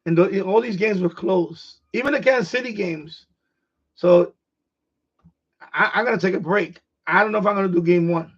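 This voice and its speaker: A middle-aged man from New York with a New York accent, hoarse, chatting casually on his livestream and restarting his phrases as an eager sports fan. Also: sits high, moderate pace. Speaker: livestream host. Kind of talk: casual rambling chat. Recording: dull lo-fi stream audio.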